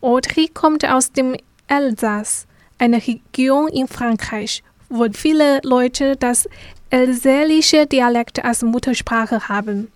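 A woman's voice: sits high, slow, a little mellow, dry recording. A woman hosting a magazine programme, presenting from a script and putting questions to guests. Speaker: radio magazine host.

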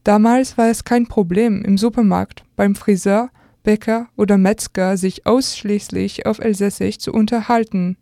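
Mellow young French-accented woman, dry recording. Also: mid-range voice, fairly even intonation, moderate pace, gravelly, hardly any pauses, no echo. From Alsace, France. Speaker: radio contributor. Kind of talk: scripted essay reading.

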